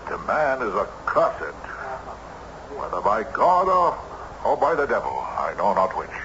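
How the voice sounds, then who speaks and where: An actor playing a formal period gentleman telling an eerie tale theatrically in an old radio drama. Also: medium pitch, sing-song, a little slow. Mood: ominous. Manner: formal, theatrical, suspenseful storytelling. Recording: noisy, muffled old radio recording.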